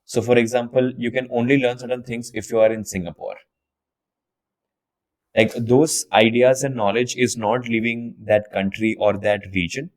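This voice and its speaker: A young man from India hosting a podcast, chatting casually and restarting his sentences with repeated words as he thinks aloud. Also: medium pitch. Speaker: podcast host. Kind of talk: casual interview chat.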